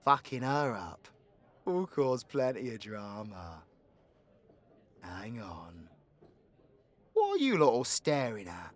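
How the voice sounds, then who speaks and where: A man's voice: mid-range voice, wildly swooping pitch, slow pace, long pauses. A British man playing a foul-mouthed, hot-tempered supervillain antihero in an audio drama, with a brash, sarcastic, slangy delivery. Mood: cocky, scheming. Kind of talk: audio roleplay voice acting.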